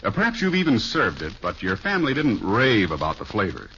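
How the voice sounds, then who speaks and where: Low-voiced vintage radio ad man. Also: wildly swooping pitch, fairly quick, pushed and bright. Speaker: commercial announcer. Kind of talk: 1950s radio advertising read. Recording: dull old radio recording, faint noise.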